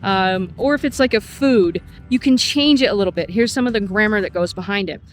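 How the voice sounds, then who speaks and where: A middle-aged woman, a Spanish teacher, explaining phrases in a casual vlog lesson while walking outdoors, slowing down to model each phrase. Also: mid-range voice, faint music behind.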